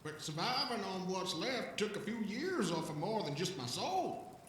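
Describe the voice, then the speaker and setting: High-pitched, wildly swooping old vagrant. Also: male voice, very animated, moderate pace, fairly bright tone, heavy reverb, recorded from a distance, slight background noise. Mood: animated storytelling. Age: older. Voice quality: rough, coarse voice. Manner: folksy, rambling, stammering, talks of himself in third person. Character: shabby, rambling, excitable centuries-old alien vagrant. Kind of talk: audio drama character acting.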